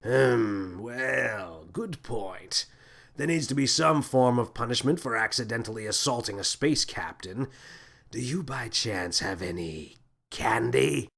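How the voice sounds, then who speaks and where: Wildly swooping, excitable space captain. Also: male voice, medium pitch, unhurried, creaky, exaggerated voice. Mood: grudging, scheming. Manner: theatrical, exclamatory, swinging from menace to delight. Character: mad, excitable, childishly gleeful male space captain. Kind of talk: children's space adventure audiobook.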